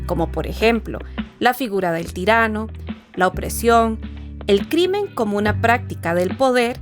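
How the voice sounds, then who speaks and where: A woman giving a scripted, formal, didactic narration as presenter of an educational literature programme. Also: a little high. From Costa Rica. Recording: voice over background music. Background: music.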